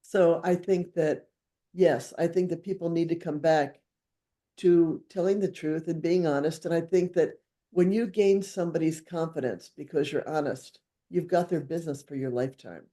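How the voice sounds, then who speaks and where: A man's voice: a little high, steady tone, normal speed, dark tone. A man co-hosting a conversation, speaking earnestly and supportively about a guest. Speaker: co-host, event booker. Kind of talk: casual conversation.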